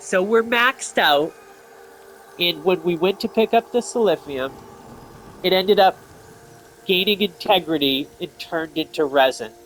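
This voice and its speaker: A man with a slightly high voice talks through a video game in a casual way. He thinks aloud and explains each step to his viewers. There is noticeable game ambience behind him. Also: a little slow, pauses often, fairly bright tone, a little hiss or hum, low-quality recording. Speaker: video game player and commentator. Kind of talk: casual gameplay commentary.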